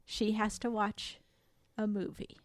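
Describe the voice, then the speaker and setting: A woman's voice: medium pitch, little pitch movement, slow pace, no echo, clean studio sound. A woman chatting casually with friends as a podcast co-host. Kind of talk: casual group chat.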